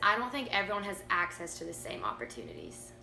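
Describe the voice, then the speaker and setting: A woman's voice: fairly low pitch, huge pitch swings, fast, vocal fry. Young female student, South African-born; direct, brief, roomy noisy sound. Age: young adult. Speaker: student interviewee.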